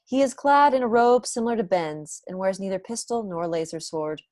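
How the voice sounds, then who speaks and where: A woman's voice: mid-range voice, lively intonation, moderate pace, darkish tone, no echo, studio quality. A young adult woman reading stage directions as narrator in an online table read, recorded at home over earphones on a video call. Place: small room at home, indoors. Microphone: wired earphones on a video call.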